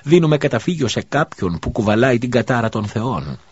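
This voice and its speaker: A man's voice: mid-range voice, big pitch swings, slightly rough, dry recording, voice pushed up. A man doing indignant accusing townsmen. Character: angry townsmen accusing a stranger. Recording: dull lo-fi recording.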